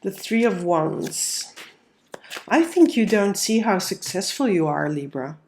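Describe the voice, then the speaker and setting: A woman's voice: mid-range voice, lively intonation, slow pace, darkish tone, slight background noise, poor audio. A woman with a cold and a nasal voice, giving a casual tarot reading and talking through each card as she lays it out. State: has a cold. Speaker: tarot reader.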